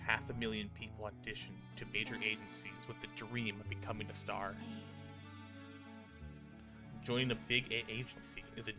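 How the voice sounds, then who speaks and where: A man doing casual livestream commentary on a visual novel, chatting informally and reading on-screen text aloud. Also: fairly low pitch. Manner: informal, occasional swearing. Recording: music playing behind the voice. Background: game music.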